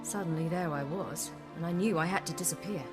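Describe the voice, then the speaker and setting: An actress playing a guarded young woman recounting her flight to a companion in a video game, measured and matter-of-fact, with music under her voice. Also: fairly low pitch, natural voice. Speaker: game character. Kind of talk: recounting a story in dialogue. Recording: game audio over music.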